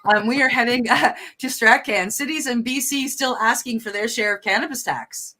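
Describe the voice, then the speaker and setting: A woman's voice: a little high, normal speed, pushed and bright. A woman from Canada co-hosting a news show, reading stories and chatting with many fillers and restarts. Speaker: co-host reading cannabis news. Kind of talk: casual news reading and chat.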